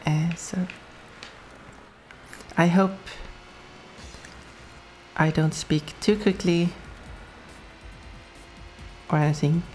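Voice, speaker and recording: A woman's voice: on the low side, fairly even intonation, extremely slow, long pauses, darkish tone, soft background music, rough recording. A young Swedish woman with a Swedish accent, chatting casually with her viewers while playing a racing game, laughing often. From Sweden. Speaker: streamer. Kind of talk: casual gameplay commentary.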